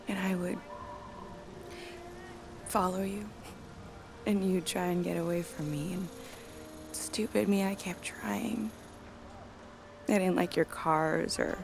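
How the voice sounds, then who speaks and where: Hurt younger sister, music behind. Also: female voice, lowish voice, creaky. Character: hurt, protective younger sister and mother, emotionally raw. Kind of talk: naturalistic video game drama.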